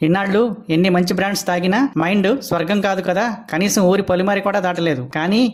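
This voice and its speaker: Cartoon layabout drunk played by a man: high-pitched, rapid, cheeky and boastful, slangy complaints. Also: steady tone, close to an everyday voice. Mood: grumbling. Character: cheeky good-for-nothing Telugu drunkard, boastful and grumbling. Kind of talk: comic cartoon dialogue.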